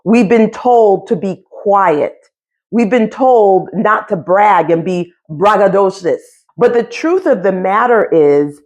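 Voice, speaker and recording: Slow, very animated American woman, high-pitched. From United States. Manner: emphatic and deliberate, with dramatic pauses and repetition. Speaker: business coach and host. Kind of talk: motivational coaching talk to camera.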